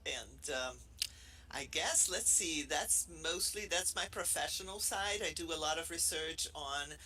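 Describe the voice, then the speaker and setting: A middle-aged man with a Brazilian accent gives a loose, playful talk to a room and an online audience, often restarting his sentences. His voice is very bright and sits a little high for a man, with a faint hiss of noise behind it. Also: unhurried, rough recording. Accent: Brazilian Portuguese. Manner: playful, chatty, many restarts. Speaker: associate professor presenting.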